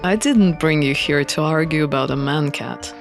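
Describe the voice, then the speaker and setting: A reserved, serious man from a visual novel, put on by a reader. He speaks in a formal, terse way. Music plays softly beneath his words. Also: medium pitch, moderate pace, vocal fry, pitched below the performer's own voice, natural voice. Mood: grave, earnest. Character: Felix, reserved, scowling man in a dream.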